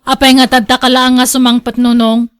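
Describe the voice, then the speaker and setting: High-pitched long-suffering young wife acted by a woman. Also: little pitch movement, a little fast, lightly acted. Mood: scolding. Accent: Ilocano. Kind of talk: Ilocano radio drama acting.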